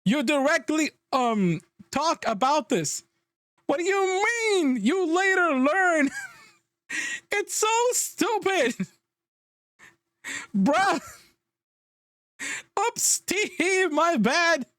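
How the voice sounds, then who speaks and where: A man's voice: very high voice, big pitch swings, drawn-out words, long pauses, a bit bright, no room sound. A man livestreaming a casual, exasperated reaction rant, emphatic and freely swearing. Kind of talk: casual ranting reaction commentary.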